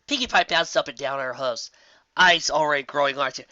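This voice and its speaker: An expressive narrator reading a scripted story aloud. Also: mid-range voice, fairly quick, no echo, lo-fi sound. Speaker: fan fiction narrator.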